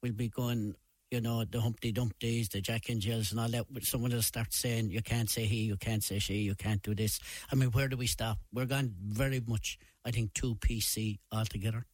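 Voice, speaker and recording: A man's voice: lowish voice, steady tone, normal speed, dry recording. Plain-spoken man, dry close sound. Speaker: panel guest. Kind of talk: casual discussion, opinion.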